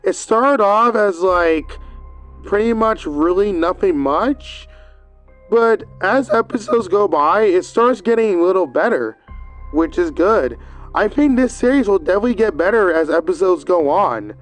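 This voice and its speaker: A man's voice: high-pitched, lively intonation, measured pace, soft background music. A man giving a casual, rambling video review, recapping with hesitant fillers and restarts.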